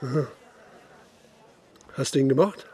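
Husky, persistent police investigator. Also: male voice, medium pitch, dark tone. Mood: probing. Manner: probing, deliberate, matter-of-fact questioning. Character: persistent, sober male police investigator in 1900 Prussia. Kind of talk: radio drama acting.

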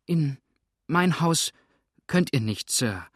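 Guy doing a flustered widow in an audiobook, voice pushed up high, breathless n pleading. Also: very animated, normal speed, slightly rough, no room sound, studio quality. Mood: desperate refusal. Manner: breathless, stammering, exclaiming protests. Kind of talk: audiobook character acting.

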